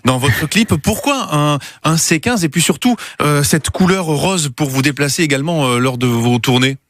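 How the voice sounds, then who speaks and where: A man's voice: fairly high pitch, normal speed, no echo. A man hosting a morning radio interview from a studio, speaking close to the microphone. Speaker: radio host interviewing a guest.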